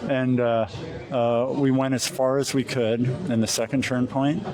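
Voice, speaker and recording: An American man in a casual interview as a pilot, recounting things in a halting way with frequent pauses mid-phrase. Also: mid-range voice, flat delivery. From Alaska, USA. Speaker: competition glider pilot being interviewed. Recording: crowd chatter in the background.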